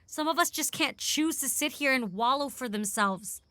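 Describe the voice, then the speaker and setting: A hard-edged, bitter woman operative in an audio drama. Her voice is high and piercingly bright, recorded very dry. She is blunt and scolding, with no patience for sentiment. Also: lively intonation, moderate pace, no echo. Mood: bitter, angry.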